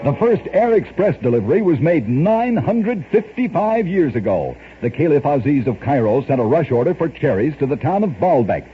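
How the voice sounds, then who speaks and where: A man reading strange-fact stories in a brisk scripted radio announcer style, from an old radio show recording. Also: a little high, very animated, lo-fi sound, faint music behind. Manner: brisk announcer delivery of odd facts.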